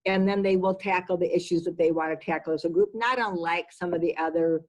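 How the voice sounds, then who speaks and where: A woman's voice: fairly low pitch, fairly even intonation, brisk. A woman executive giving conversational interview answers, emphatic and repeating words for stress. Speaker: business executive being interviewed.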